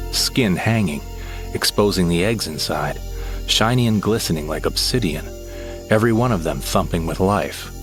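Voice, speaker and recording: A low, deep male voice tells the tale as a space hero's sidekick, a man playing him, his pitch swinging widely as he lingers over each strange sight. He speaks in a measured storytelling manner over steady music in the background. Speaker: narrator. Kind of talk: scripted audio drama narration.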